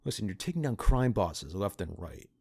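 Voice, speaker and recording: A man playing a cynical, pragmatic partner in crime to a vigilante in an ASMR roleplay, talking in a casual, halting, conspiratorial way. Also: fairly low pitch, sing-song, gravelly, dark tone, slightly rough, full character voice. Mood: wry, cautioning. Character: cynical, pragmatic male criminal accomplice to a vigilante.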